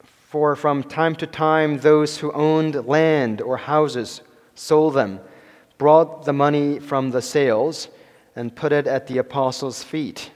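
A man in his forties preaching a sermon to his church, halting with many fillers and restarts and chuckling between phrases. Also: fairly high pitch, steady tone, darkish tone. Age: 40s. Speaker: pastor. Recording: long hall reverb.

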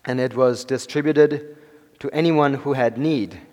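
A man in his forties preaching a sermon to his church, halting with many fillers and restarts and chuckling between phrases. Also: medium pitch, darkish tone. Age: 40s. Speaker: pastor. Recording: long hall reverb.

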